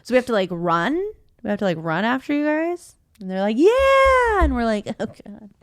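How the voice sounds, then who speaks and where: A woman's voice: on the high side, very animated, moderate pace, no echo, studio quality. A woman co-hosting a podcast in casual chat, playful and chatty, laughing often. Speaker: podcast co-host.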